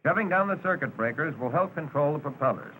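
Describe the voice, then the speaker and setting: A man with an American accent narrating a 1940s instructional training film in a measured, instructive manner. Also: fairly high pitch, lively intonation, narrow, tinny audio. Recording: noisy 1940s film soundtrack.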